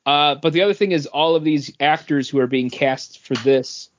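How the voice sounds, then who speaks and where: A man's voice: on the high side, normal speed. A man co-hosting a podcast, chatting casually about entertainment news. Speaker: podcast co-host. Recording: dull, lo-fi podcast audio.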